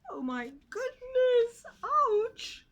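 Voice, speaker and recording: Storybook piglet, young and male, chirpy and hopeful, sailing up high; woman reader doing the voice. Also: very slow, exaggerated voice. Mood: excited. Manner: bright, breathless excitement.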